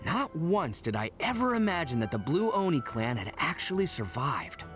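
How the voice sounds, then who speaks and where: A man's voice: on the high side, very animated, normal speed, fairly bright tone, soft background music. A man voicing a boisterous, proud young male Oni gang leader in a game cutscene, given to hearty laughs. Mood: earnest. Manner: boastful, hearty laughs, earnest when serious.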